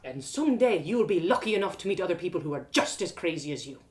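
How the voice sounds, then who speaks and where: A woman voicing a wise, gentle old village man in a storybook, speaking slowly in a measured, fatherly, philosophical way. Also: on the low side, big pitch swings. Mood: calm, knowing.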